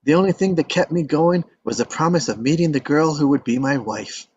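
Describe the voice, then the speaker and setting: A man's voice: a little high, a little fast, a little mellow, husky, dull audio. A man reading the part of an earnest, determined young man in love, pleading his case. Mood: reflective. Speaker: table read actor. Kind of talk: live table read acting.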